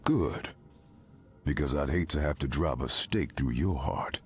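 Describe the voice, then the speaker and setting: An actor playing a grim, menacing vampire hunter in a video game. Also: male voice, rumbling low, unhurried, soft background music. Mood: cool menace.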